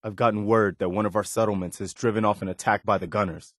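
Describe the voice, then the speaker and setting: An actor playing an earnest, dutiful, plainspoken militia lieutenant in a post-apocalyptic video game. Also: male voice, lowish voice, fairly even intonation, fast, no room sound, studio quality, close to an everyday voice. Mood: steadfast. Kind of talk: video game character dialogue.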